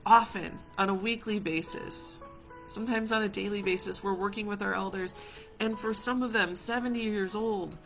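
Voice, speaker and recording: Female community healer, gentle and reassuring, repeats key phrases, mid-pitched, guitar music behind. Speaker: community healer hosting a live session. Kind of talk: gentle reflective guided talk to viewers.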